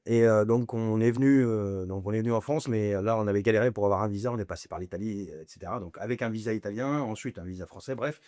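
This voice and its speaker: A man's voice: on the low side, fast, hardly any pauses, mellow, no room sound, clean studio sound. Blunt, slangy man in his forties. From Lebanon. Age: 40s. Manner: blunt, slangy, frequent fillers and chuckles. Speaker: podcast guest. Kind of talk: casual storytelling interview.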